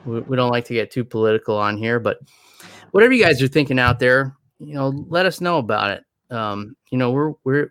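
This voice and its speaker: Easygoing man with a smooth, dry voice. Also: mid-range voice, a bit bright, dry recording. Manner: laughs often, easygoing and rambling. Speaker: podcast co-host. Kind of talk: casual podcast chat.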